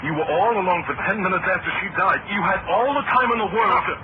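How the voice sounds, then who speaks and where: An actor playing a nervous, defensive hospital doctor, earnest and protesting, in old-time radio drama style. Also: male voice, high-pitched, expressive, fast. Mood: accusing. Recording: dull, very noisy old radio recording.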